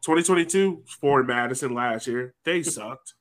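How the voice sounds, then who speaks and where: A man's voice: mid-range voice, moderate pace, fairly bright tone. A man on a casual sports podcast panel, talking in a blunt, colloquial, jokey way. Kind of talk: casual soccer discussion.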